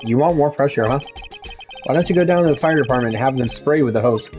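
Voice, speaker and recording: Man as young male lawyer, dry, sarcastic, deadpan, medium pitch, video game dialogue, music behind. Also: fast talker, lightly acted. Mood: wry.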